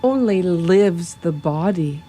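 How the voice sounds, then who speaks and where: Commanding older professor and vampire hunter for radio drama, played by a woman: deliberate, unhurried, solemn, oddly ordered formal phrases, faint music underneath. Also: fairly low pitch, lively intonation, warm tone. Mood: grave, instructive. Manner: formal, deliberate, inverted foreign-style phrasing.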